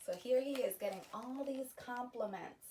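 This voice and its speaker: A woman reading a children's picture book aloud, pausing to explain the story to young listeners. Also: fairly high pitch, distant mic, slight background noise, poor audio. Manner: slow, gentle storytime delivery with explanatory asides. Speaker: children's read-aloud host.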